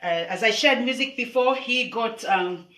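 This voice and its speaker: A woman's voice: on the high side, normal speed, a bit bright, distant mic. A woman hosting a casual music livestream, chatting with many fillers and restarts. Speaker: host. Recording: echoey room, off-mic, faint noise.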